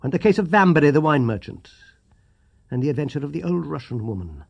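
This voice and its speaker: A man voicing a brisk, mischievous male detective in an audiobook, speaking in a teasing, lively way. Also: a little high, creaky, slightly rough, dull audio, heavily acted.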